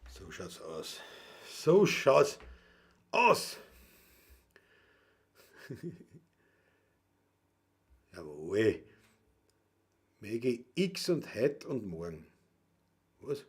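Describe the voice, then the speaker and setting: A man's voice: fairly low pitch, huge pitch swings, extremely slow, long pauses. A man with a Viennese accent hosting his music livestream, reading out viewer comments in an easygoing, rambling way and chuckling between remarks. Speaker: livestream host and Wienerlied singer. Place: at home, performing alone.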